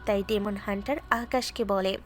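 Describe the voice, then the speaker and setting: A woman narrating a Bengali audio drama in a steady, scripted storytelling manner. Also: mid-range voice, normal speed. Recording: faint background music.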